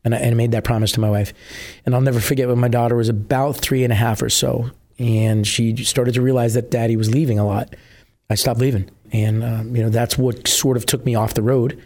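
A middle-aged man from New Jersey, a musician guest with a husky voice, chatting casually and rambling with many fillers. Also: mid-range voice, flat delivery, brisk, a little mellow. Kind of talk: casual interview chat.

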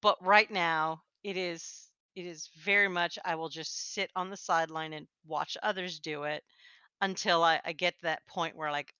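Chatty Midwestern woman, bright voice. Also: lowish voice, fairly even intonation, normal speed, smooth, no room sound, dull audio. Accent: Upper Midwest American. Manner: chatty, self-deprecating, laughs often. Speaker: podcast co-host. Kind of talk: casual chat.

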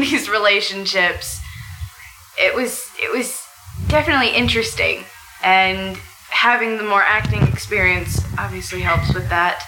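A young woman in her late teens chatting informally and jokingly as a guest on a live panel. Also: mid-range voice. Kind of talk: casual live panel chat. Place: live convention panel by a restaurant window. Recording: faint background noise.

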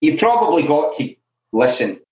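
A man's voice: fairly high pitch, very animated, normal speed, darkish tone. A middle-aged man from Glasgow with a Glaswegian Scottish accent, speaking as an interview guest and telling his own stories frankly, with many "you know" asides. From Scotland. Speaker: interview guest in alcohol recovery. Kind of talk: candid conversational storytelling. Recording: roomy echoey room.

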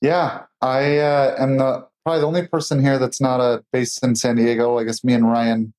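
A man's voice: medium pitch, flat delivery, moderate pace, darkish tone. A man in his thirties, a business owner, introducing himself loosely with lots of "uh" and "you know.". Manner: filler-heavy, loose. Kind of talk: roundtable introduction.

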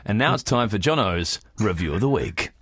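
A man's voice: on the low side, expressive, moderate pace, a bit bright, slightly rough, dry recording, clean studio sound. A man co-hosting a podcast in casual, discursive chat, often checking in with the listener as he explains his ideas. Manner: discursive, peppered with "you know". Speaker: podcast co-host. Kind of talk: casual opinionated arts discussion.

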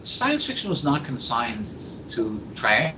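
Muffled sound with plain background noise frames a man's voice that swings widely in pitch. He hosts a casual podcast chat, wandering through his points in stop-start phrases and repeating words as he goes. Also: huge pitch swings, slow pace. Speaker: podcast host. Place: hotel at a convention. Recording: dull, noisy internet-call recording with room ambience.

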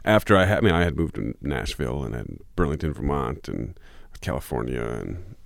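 A man's voice: a bass voice, very animated, fairly quick, vocal fry, dry recording, clean studio sound. A man musician talking through long, rambling interview answers, with many restarts and fillers. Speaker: musician guest.

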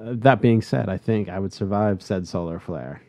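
A man's voice: on the low side, moderate pace, a little mellow, no echo. A man in his thirties with a nasal voice, stuffed up with a cold, bantering casually as a podcast host. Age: 30s. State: congested with a cold.

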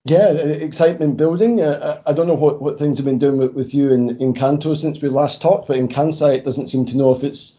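A Scottish man co-hosting a podcast, hoarse from a cold, stumbling and repeating words. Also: a little high, fairly even intonation, fairly quick, few pauses, warm tone, dull audio. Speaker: podcast co-host.

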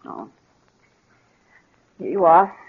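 A woman's voice: lowish voice, very animated, drawn-out words, husky, exaggerated voice. A woman acting a restless, defiant, demanding accomplice in a 1940s radio drama. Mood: hurried. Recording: muffled vintage 1940s radio recording.

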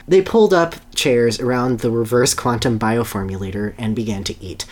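A podcast host giving an animated dramatic reading of a story, with amused asides. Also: medium pitch, moderate pace.

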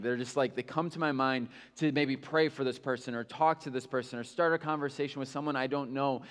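A man's voice: mid-range voice, long reverb tail. A male pastor preaching a sermon in a candid, conversational way, with self-mocking asides.

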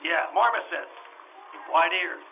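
Man acting a high-pitched, flustered, stammering animal-show owner. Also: unhurried, vocal fry, highs cut off. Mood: earnest, chatty. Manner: stammering, repeats himself, rambling. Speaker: stage actor in radio troupe. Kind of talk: old-time radio drama acting. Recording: faint noise, live radio re-enactment.

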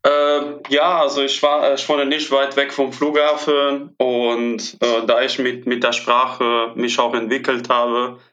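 A man's voice: mid-range voice, little pitch movement, unhurried, hardly any pauses, a bit bright, highs cut off. A man, answering interview questions hesitantly with many filler sounds. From Italy. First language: Italian. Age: 20s. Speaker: guest, airport trainee. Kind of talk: podcast interview.

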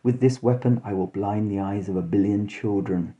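A sneering gothic mastermind, put on by a man, half doctor and half beast. His delivery is growling, grandiose and forbidding, with a dark timbre, in a horror spoof. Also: lowish voice, normal speed, muffled recording, lightly acted. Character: evil hirsute doctor, a sneering werewolf-in-waiting mastermind.

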